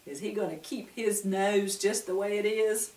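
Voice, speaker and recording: A woman's voice: mid-range voice, steady tone, moderate pace, a little mellow, a little hiss or hum. A woman reading a children's story aloud with expression, asking her young listeners questions as she goes. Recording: roomy, distant mic.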